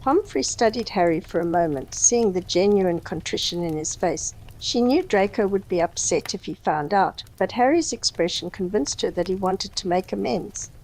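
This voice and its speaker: A woman's voice: mid-range voice, lively intonation, moderate pace, hardly any pauses, a little mellow, poor audio. A woman narrating a scripted audio story in the third person.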